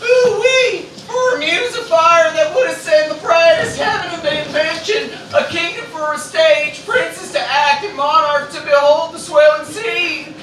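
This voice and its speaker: A man voicing an excitable little creature narrator who squeals and declaims grandly in a live comic radio play. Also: a little slow, hardly any pauses. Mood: excited, grand. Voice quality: high-pitched, squealing. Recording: roomy live venue, distant mic, noisy.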